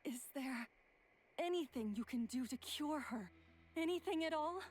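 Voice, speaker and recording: A woman's voice: high-pitched, lively intonation, measured pace. A woman voicing a worried, polite young traveller in a video game with an earnest delivery.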